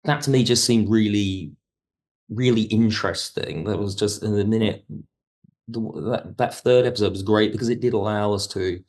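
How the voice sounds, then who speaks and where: A man's voice: fairly low pitch, normal speed, a little mellow. A man talking casually as a podcast guest, a writer and director who is enthusiastic and reflective about his work. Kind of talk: casual interview chat.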